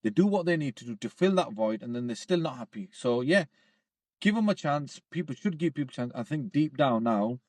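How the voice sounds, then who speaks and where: Fast-talking man on a podcast. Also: medium pitch, no echo. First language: Urdu. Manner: quick, animated, acts out quoted voices. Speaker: podcast host. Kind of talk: casual podcast discussion.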